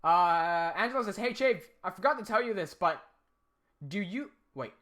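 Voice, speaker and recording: A man with an American accent hosts a casual live-stream Q&A. His voice is bright, slightly high and very animated as he reads out viewer questions and answers them on the fly. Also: normal speed. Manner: chatty, reads out viewer questions while fiddling with software.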